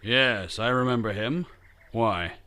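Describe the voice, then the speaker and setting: A man playing a gruff millionaire ranch owner in a radio mystery, brusque, irritable and commanding. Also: medium pitch, takes it slowly, sharp tone. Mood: guarded, uneasy. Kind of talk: old-time detective radio drama acting.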